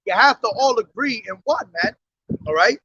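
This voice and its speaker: A very high, bright-toned man preaching outdoors, his voice worn hoarse. He reads scripture in a slow, measured way and then presses each point with "man" and "all right?". The recording is dull and lo-fi. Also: expressive, a little hiss or hum. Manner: emphatic, calls listeners "man", reads scripture slowly. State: hoarse from days of yelling. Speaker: street preacher. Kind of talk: street sermon with scripture reading. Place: outdoors on a street.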